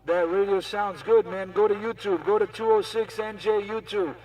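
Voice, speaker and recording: Casting: high-voiced male CB operator, showy and repetitive banter, sounding distant from the mic. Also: fairly even intonation, normal speed. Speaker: CB radio operator. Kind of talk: CB radio banter in repeated chanted catchphrases. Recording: CB radio chatter, off the mic.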